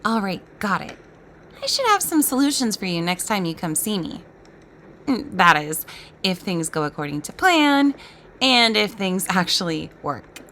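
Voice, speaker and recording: A woman's voice: mid-range voice, very animated, measured pace, a bit bright, slight background noise. A woman playing a casual, helpful magical problem-solver in a voiced roleplay drama. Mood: upbeat.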